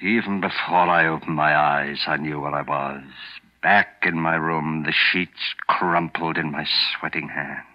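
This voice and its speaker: A man's voice: very deep voice, fairly even intonation, measured pace, hardly any pauses. A man playing a frightened rich older hospital patient, narrating in tense, breathless 1940s radio drama style. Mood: dread. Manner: tense, breathless dread, hushed to urgent. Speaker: lead actor and first-person narrator. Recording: muffled 1940s radio broadcast, music behind.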